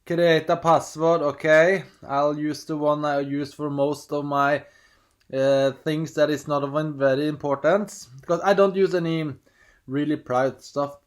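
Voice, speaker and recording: A man's voice: a little high, steady tone, unhurried. A man from Norway with a Norwegian accent, chatting casually at home while unboxing, rambling and hesitant with many restarts, reading screen prompts aloud. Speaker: vlogger.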